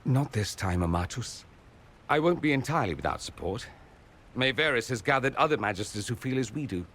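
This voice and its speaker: Witty, theatrical nobleman mage with lively delivery, played by a man. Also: mid-range voice, a little fast, a little hiss or hum, lightly acted. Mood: droll, reassuring. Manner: arch, theatrical, droll. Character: witty, flamboyant mage nobleman, tender and wry. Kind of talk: dramatic video game dialogue.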